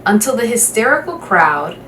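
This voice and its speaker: Expressive woman reading aloud, roomy sound. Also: a little high. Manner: measured, dramatic phrasing. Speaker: guest storybook reader. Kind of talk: expressive children's novel read-aloud. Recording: roomy, distant microphone, faint background noise.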